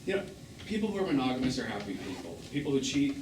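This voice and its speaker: A man's voice: medium pitch, sing-song, quick pace, close to an everyday voice. Echoey, distant actor as wounded middle-aged man. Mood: reproachful. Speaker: stage actor. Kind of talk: live stage drama acting. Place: small theatre, recorded from a distance. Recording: echoey, noisy stage performance recorded from afar.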